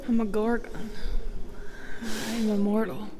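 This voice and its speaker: Woman acting a bitter immortal female gorgon, audio drama, slow halting delivery, whispery menace, weary of life, clearly noisy ambient background. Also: medium pitch, little pitch movement, lightly acted. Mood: weary, anguished. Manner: halting, brooding, often dropping to a breathy whisper. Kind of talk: dramatic audio roleplay monologue.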